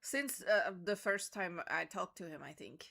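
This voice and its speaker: Bright-voiced woman, dry tabletop player. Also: lowish voice, measured pace, creaky. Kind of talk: casual in-character improvised dialogue.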